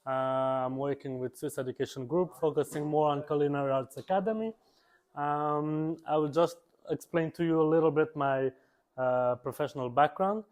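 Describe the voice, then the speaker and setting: A young man leads a class as a chef instructor, his voice sitting on the higher side. He talks to the room in a live, loose way and keeps checking in with a quick "okay?". He is recorded in a classroom. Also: darkish tone. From Portugal.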